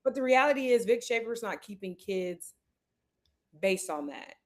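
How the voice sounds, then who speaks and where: Female co-host, lively casual sports talk, brightish upper pitch. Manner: animated, quick asides, laughs often. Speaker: podcast co-host.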